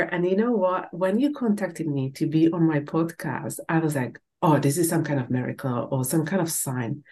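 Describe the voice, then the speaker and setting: A woman's voice: sits low, a little mellow. A woman from the Czech Republic with a Czech accent, hosting a podcast in a casual, conversational way. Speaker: podcast host.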